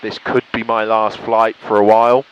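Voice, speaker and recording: Man, British accent, lowish voice, casual pilot vlog, enthusiastic and reflective, clear engine noise, small aircraft cockpit. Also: level tone, normal speed, lo-fi sound. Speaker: pilot vlogger. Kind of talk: casual talk to viewers while flying.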